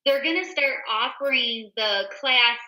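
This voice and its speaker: A woman's voice: on the high side, measured pace, sharp tone. A woman co-hosting a casual talk show, reading items aloud and chatting emphatically straight to her listeners. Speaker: show host. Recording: roomy, echoey indoor sound.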